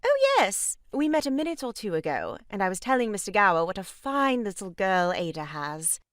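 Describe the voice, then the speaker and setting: A woman's voice: mid-range voice, sing-song, moderate pace, no room sound, clean studio sound, close to an everyday voice. A woman playing an unflappable, bluntly frank married lady in an audio farce, genteel and breezy. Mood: brisk. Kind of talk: stage farce audio drama acting.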